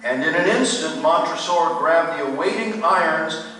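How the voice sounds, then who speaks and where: An actor playing a grave narrator, reading a macabre tale as scripted drama in a radio play. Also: male voice, a little high, expressive, normal speed, sharp tone. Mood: suspenseful. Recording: echoey hall, far from mic, faint noise.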